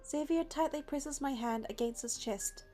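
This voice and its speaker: High-voiced woman narrating a story over music. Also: moderate pace, warm tone. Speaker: player narrating a game playthrough. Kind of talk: expressive story narration, read aloud.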